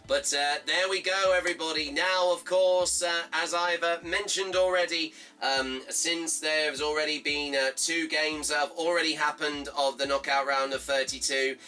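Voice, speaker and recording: A slightly hoarse man hosting a fan football show, reading out results and previewing a match in a halting way, full of fillers and reading off his notes. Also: on the high side, steady tone, sharp tone, faint music behind.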